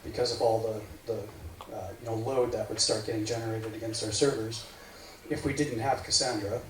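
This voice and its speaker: A man's voice: on the low side, steady tone, fairly quick. A man in his forties from the United States gives a casual tech talk to a meetup crowd, wandering through his points with lots of "right, like" and "um". He sounds far from the mic in an echoey room, with a faint hiss behind him. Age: 40s. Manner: rambling, filler-heavy, says "right, like" often. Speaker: meetup speaker. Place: meeting room.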